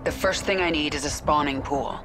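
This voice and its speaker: A woman's voice: low-pitched, a little fast, heavy background noise, lightly acted. A woman playing a fierce, determined female commander in a video game, terse and intense. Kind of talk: scripted game voice acting. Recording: loud music behind.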